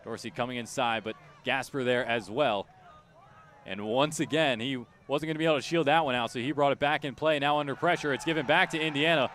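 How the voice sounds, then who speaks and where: A man's voice: medium pitch, fairly even intonation, fairly quick, fairly bright tone. A man doing live radio play-by-play of a soccer match, describing each touch in clipped, urgent, emphatic phrases. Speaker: play-by-play announcer.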